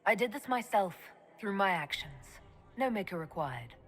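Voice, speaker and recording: A woman's voice: medium pitch, sing-song, moderate pace, fairly bright tone. An actress playing a blunt, sceptical female elf mage leader, curt and matter-of-fact, in a video game. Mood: curt, defiant.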